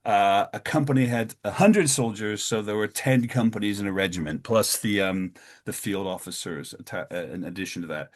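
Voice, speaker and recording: Hesitant man giving a history lecture. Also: normal speed. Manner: hesitant, many fillers and restarts; sudden pitch lifts on new points.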